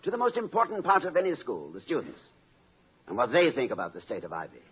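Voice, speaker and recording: An actor playing an erudite, wry, fond middle-aged college president in a 1950s radio comedy, speaking in eloquent, dryly witty sentences. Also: male voice, mid-range voice, huge pitch swings, fast talker, breathy edge, exaggerated voice. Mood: self-reproachful. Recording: muffled old radio recording with noise.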